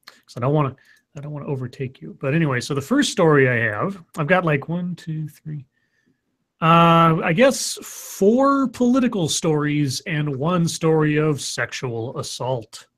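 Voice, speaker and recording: A man's voice: fairly high pitch, moderate pace, fairly bright tone. A man with a slightly scratchy voice, casually reading news stories on a stream with rambling, sarcastic asides.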